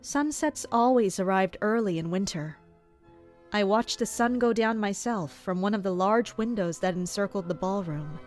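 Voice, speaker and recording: A guarded, watchful palace servant , acted by a woman, narrates a fantasy audio drama. The voice sits mid-range, serious and measured, with music faintly behind it. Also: medium pitch, darkish tone, natural voice. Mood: observant, composed. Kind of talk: scripted first-person audio drama narration.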